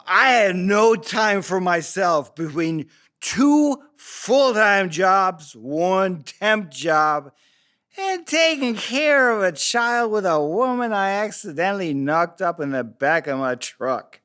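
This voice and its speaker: A man playing a crude, bitter, drunken father making excuses in a halting, rambling table-read monologue. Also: high voice, animated, a bit bright, exaggerated voice. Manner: slurred, halting drunken delivery with rambling asides.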